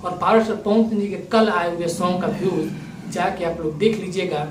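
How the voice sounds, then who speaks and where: A man's voice: high-pitched, steady tone, normal speed, noisy background. A man giving opinionated video commentary to viewers in an emphatic, accusatory manner. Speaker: YouTube commentator. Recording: roomy echo, distant microphone.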